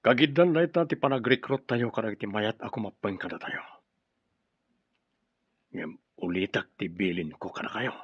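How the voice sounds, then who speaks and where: A man's voice: on the low side, normal speed, pauses often, breathy edge, no room sound. A man with an Ilocano accent playing a commanding, cautious leader of a secretive group in a radio drama. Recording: dull radio drama sound, music behind.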